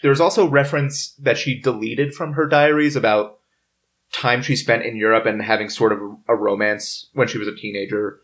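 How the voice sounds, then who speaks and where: A man with a medium-pitched voice hosts a casual table discussion. He talks informally and haltingly, piecing together facts from his notes as he goes. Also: fairly even intonation, fairly bright tone. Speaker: podcast host. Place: in-person recording around a table.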